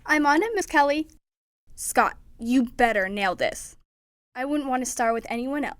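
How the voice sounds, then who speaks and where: A woman playing a confident, eager and possessive high school drama student in an audio comedy. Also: animated, moderate pace. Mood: eager, pointed.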